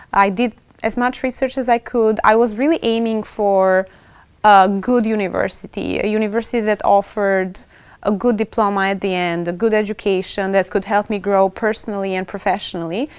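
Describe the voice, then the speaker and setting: A woman's voice: medium pitch, fairly even intonation, normal speed, clear, resonant tone, no room sound. A woman in her twenties with an Albanian accent, a student answering interview questions casually in English. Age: 20s.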